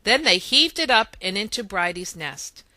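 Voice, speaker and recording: A woman reading a children's story aloud in expressive narration. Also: medium pitch, normal speed, dry recording. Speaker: storybook reader.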